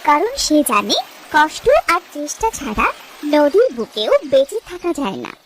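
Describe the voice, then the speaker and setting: A woman's voice: high-pitched, big pitch swings, moderate pace, slight background noise, rough recording. A woman reading scripted narration for a moral story. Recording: loud music behind the voice. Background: music.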